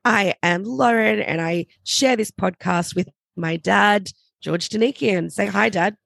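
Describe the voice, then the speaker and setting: A young woman with a slightly raspy voice, chatting casually as a podcast co-host. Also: medium pitch, fairly bright tone, no room sound, studio quality. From Australia. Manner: chatty, quick to laugh. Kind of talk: casual chat.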